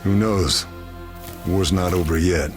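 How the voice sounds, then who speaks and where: Very deep, stoic military sniper. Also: male voice, steady tone, slow pace. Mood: grim, resolute. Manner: terse, dry, resolute. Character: stoic, laconic male Allied sniper lieutenant. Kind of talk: cinematic war game dialogue and narration. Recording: music under the voice.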